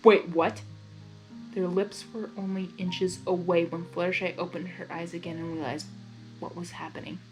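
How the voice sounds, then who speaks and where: A woman reading fan fiction aloud in an informal, excitable way, with casual reaction asides between the narration. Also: fairly low pitch, moderate pace, faint music behind, poor audio.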